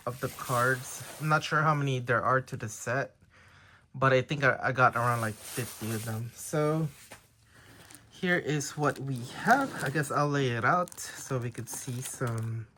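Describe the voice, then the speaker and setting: A man whose first language is Tagalog leafs through his trading cards in a relaxed, unhurried way. He sounds tentative, often unsure of what he has. Faint crinkling of card packs sits behind his voice. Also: mid-range voice, slight background noise. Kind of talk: casual show-and-tell of a card haul.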